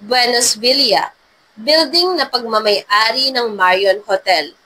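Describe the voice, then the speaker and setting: Woman narrator as suspicious female security agent, storybook drama, highish pitch, crisp bright edge. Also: slow pace, a little hiss or hum, rough recording. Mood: firm, revealing.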